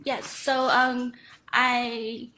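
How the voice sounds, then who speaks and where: A young woman from Myanmar, a scientist answering interview questions in a webinar, pausing often with fillers. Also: fairly high pitch, little pitch movement, sharp tone, a little hiss or hum, low-quality recording. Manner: hesitant, many fillers. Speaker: guest scientist.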